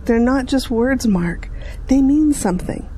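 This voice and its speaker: A woman's voice: high-pitched, lively intonation, measured pace, warm tone, a little hiss or hum. An actress playing a devout middle-aged mother, earnest and instructive, in an audio drama. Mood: earnest, tender. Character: devout, gently earnest mother counselling her son.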